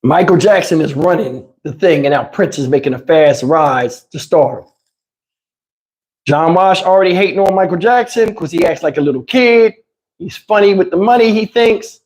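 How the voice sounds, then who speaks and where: High-voiced man telling animated stories. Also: normal speed. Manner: animated, profane, slangy; acts out dialogue and sound effects. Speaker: storyteller host. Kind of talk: animated casual personal storytelling with acted quotes.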